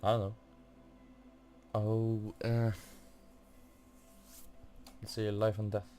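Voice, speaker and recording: A man's voice: on the low side, little pitch movement, slow, warm tone, slight background noise. A man giving casual gameplay commentary, thinking aloud in a hesitant, muttering way. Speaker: gamer playing through a quest.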